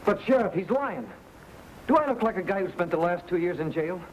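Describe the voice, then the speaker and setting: A man's voice: high-pitched, expressive, brisk, a little hiss or hum, rough recording. An actor playing a desperate, earnest accused man pleading his innocence in an old-time radio western. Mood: indignant, defensive. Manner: pleading, insistent. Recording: loud music behind old radio drama.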